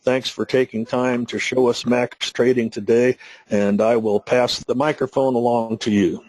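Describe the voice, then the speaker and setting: A man's voice: mid-range voice, steady tone, moderate pace, darkish tone. A man hosting a webinar, earnestly introducing and thanking the guest speaker. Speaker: webinar host.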